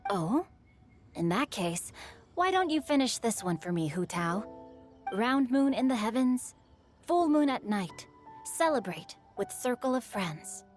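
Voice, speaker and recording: A woman's voice: fairly high pitch, very animated, unhurried, faint music behind. A woman voicing a refined young scholar who recites verse in a poised manner, in a game cutscene.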